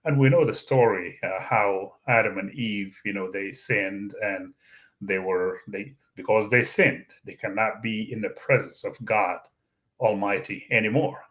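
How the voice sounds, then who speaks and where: Slow-speaking man, Arabic native speaker. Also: on the low side, steady tone, a bit bright. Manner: slow, deliberate, with frequent fillers and restarts. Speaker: YouTube channel host. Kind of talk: Bible teaching monologue.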